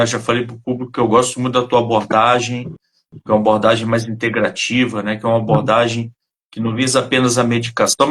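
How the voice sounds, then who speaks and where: A man's voice: mid-range voice, fairly bright tone. A man hosting a live interview in a friendly way, with tag questions scattered through his speech. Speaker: live stream host.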